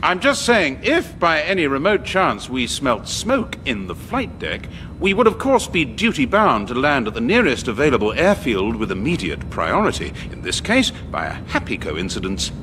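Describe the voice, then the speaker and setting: An actor playing a suave, middle-aged airline first officer in a radio sitcom, urbane and drily ironic, with an English accent. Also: male voice, mid-range voice, huge pitch swings, normal speed, big-room sound, noisy background. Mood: sly, sardonic. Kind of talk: British radio sitcom acting.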